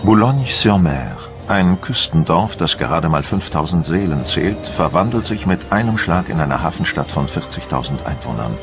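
A man's voice: low-pitched, expressive, normal speed, hardly any pauses. An actor playing a Frenchman of Napoleon's time, narrating a scripted historical documentary. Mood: measured, grave. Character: Frenchman of Napoleon's time recounting invasion preparations. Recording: music behind the voice.

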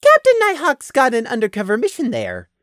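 A woman's voice: on the high side, wildly swooping pitch, a little fast, dry recording, clean studio sound, pitched above the performer's own voice. A woman voicing a small, nervous male lamb who works as a guard clerk, polite but evasive. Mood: guarded politeness.

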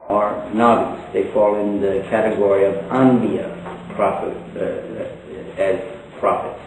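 A man's voice: medium pitch, a little mellow, a little hiss or hum. An older man from Texas with a Texan accent, giving a folksy religious lecture with joking asides. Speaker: Islamic preacher. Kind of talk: public lecture. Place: large hall, indoors. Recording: echoey hall from a distance.

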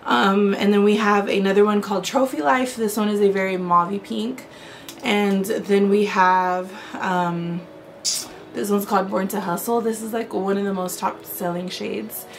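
A young woman in her twenties with a hoarse, raspy voice from a sore throat, chatting casually to camera about her shopping haul and filling her talk with "um" and "like.". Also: mid-range voice, steady tone, moderate pace, a little hiss or hum. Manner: chatty, many "um" and "like" fillers. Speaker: beauty vlogger.

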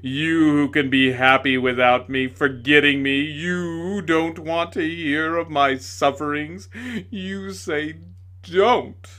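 A man reading aloud voices a bitter, theatrical male ghost who declaims slowly and loudly with self-pity. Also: sits high, voice pushed up, full character voice. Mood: bitter triumph. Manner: loud, slow, melodramatic declaiming.

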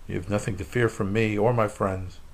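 Gravelly man, 40s, paranormal investigator; low voice, challenging and provoking tone. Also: fairly even intonation, brisk. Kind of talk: ghost-hunting session talk. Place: dark bedroom at night.

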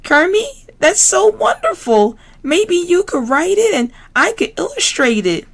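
Woman doing a storybook diva pig: very high, swooping, gushing and coaxing, vain and pushy. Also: very animated, a little slow, exaggerated voice. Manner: gushing, coaxing, breathless run-on pleading. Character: vain, sweet-talking female pig, dramatic and pushy. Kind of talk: storybook read-aloud.

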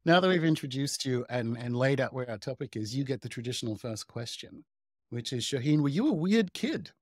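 A man's voice: mid-range voice, animated, a little fast, a little mellow, no echo, clean studio sound. A man in his thirties hosting a podcast interview over a video call from home, upbeat and chatty. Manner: upbeat, chatty, laughs easily. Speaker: podcast host. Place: home room.

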